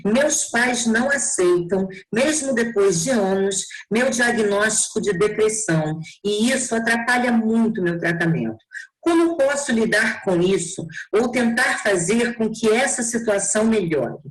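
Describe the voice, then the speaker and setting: A woman's voice: mid-range voice, expressive, unhurried. A woman moderating an online lecture, reading audience questions aloud carefully over a video call. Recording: echoey room.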